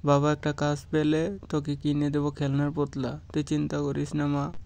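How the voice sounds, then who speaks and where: A man's voice: on the high side, completely flat delivery, normal speed, creaky, darkish tone, clear, resonant tone. An actor playing a poor, worried village father and husband in a children's cartoon, with plain rustic, plaintive speech. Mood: reassuring, apologetic.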